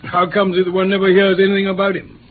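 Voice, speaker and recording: A man with an English accent playing a genial, easily astonished gentleman companion in a radio play, polite and hearty. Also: high voice, completely flat delivery, quick pace, close to an everyday voice. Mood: interested. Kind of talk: mid-century British radio play acting. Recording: tinny old radio recording, faint hiss.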